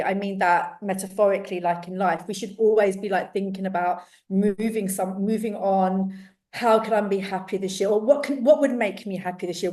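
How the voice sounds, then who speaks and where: A woman from London with a strong London accent, a cookery teacher chatting as a podcast guest, enthusiastic and quick to laugh, with many restarts. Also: medium pitch, a little mellow, distant mic. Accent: London English. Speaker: guest, cookery teacher and self-love coach. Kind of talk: casual podcast interview.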